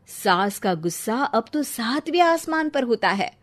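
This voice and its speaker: A woman's voice: on the high side, very animated, a little slow. A woman narrator reading a scripted story for a Hindi cartoon.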